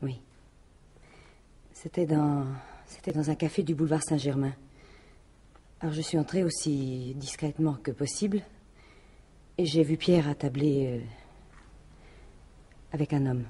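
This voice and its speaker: An actress playing a defensive, jealous French widow in a radio mystery, talking in halting, colloquial phrases. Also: female voice, deep voice, steady tone, warm tone, slightly rough. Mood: confiding. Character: defensive, jealous widow, plain-spoken businesswoman. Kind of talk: 1950s-style French radio mystery acting.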